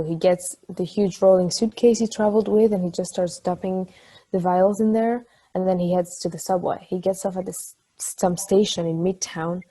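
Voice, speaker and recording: Actress doing a chatty girlfriend type in a play reading, mellow kinda raspy voice, rambly storytelling. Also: fairly low pitch, close to an everyday voice, low-quality recording. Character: chatty woman telling a funny anecdote to her partner. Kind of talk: acted casual conversation in a table read.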